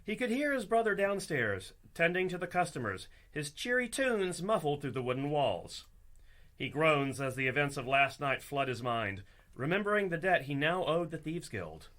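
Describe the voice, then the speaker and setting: A man's voice: on the high side, big pitch swings, moderate pace, fairly bright tone. A man hosting as dungeon master, narrating a scripted fantasy comedy in a theatrical storytelling style.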